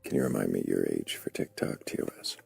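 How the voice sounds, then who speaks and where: A man hosting a livestream debate, speaking slowly in a dry, sardonic manner, often dropping to a near whisper. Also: darkish tone. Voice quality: very low gravelly vocal fry. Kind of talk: livestream chat.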